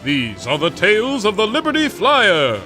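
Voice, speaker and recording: An actor playing an excited, theatrical announcer narrating an old-time radio adventure serial. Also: male voice, sits high, moderate pace, soft background music, heavily acted. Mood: rousing. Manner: theatrical, declamatory.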